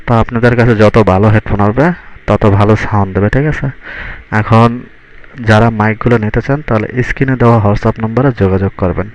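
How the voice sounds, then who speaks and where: A man's voice: fairly low pitch, fairly even intonation, quick pace, highs cut off. A man casually showing off microphones for sale, chatty and repetitive, often saying hello and okay. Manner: chatty, repeats hello and okay as he tests mics. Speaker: seller demonstrating microphones. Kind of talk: casual product demo vlog. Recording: echoey room with loud noise.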